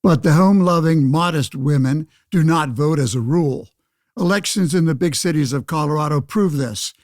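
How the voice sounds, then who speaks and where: An actor playing a pompous, self-righteous 1911 state senator in a radio play, speaking in formal, declamatory phrases. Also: male voice, a little high, moderate pace, a little mellow, no room sound, studio quality, lightly acted. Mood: smug, insistent. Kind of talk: pseudo-radio play interview and oratory.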